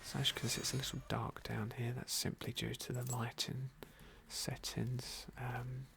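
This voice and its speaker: A man softly narrating a fantasy game as an ASMR roleplay, unhurried and with formal, genteel phrasing. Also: medium pitch, level tone, vocal fry, noisy background. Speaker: host of an ASMR game playthrough.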